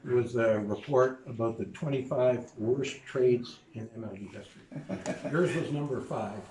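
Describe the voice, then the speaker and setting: A man asking a press question briskly as a reporter. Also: mid-range voice, darkish tone. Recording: echoey room, distant from mic, faint noise.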